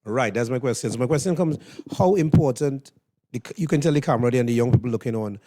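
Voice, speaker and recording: Mellow-voiced Trinidadian man hosting. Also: medium pitch, quick pace. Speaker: interviewer and host. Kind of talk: casual on-stage interview.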